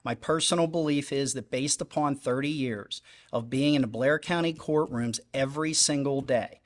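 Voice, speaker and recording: A middle-aged man speaks at a public candidate forum in an earnest, emphatic way, stacking repeated phrases to drive his point. His voice sits at an ordinary pitch for a man. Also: normal speed. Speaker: judicial candidate.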